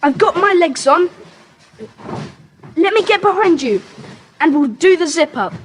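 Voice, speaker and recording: A woman playing a keen, excitable young schoolboy in a children's audio adventure. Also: very high-pitched, a little slow. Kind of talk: children's audiobook drama acting. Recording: faintly hissy cassette tape.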